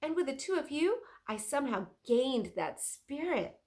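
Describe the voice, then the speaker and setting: A woman voicing an excitable, dreamy older male inventor and artist in a children's storybook, dramatic and emphatic. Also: sits high, measured pace, voice pushed up, heavily acted. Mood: earnest, dreamy. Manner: emphatic, exclaiming. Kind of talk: children's storybook read-aloud.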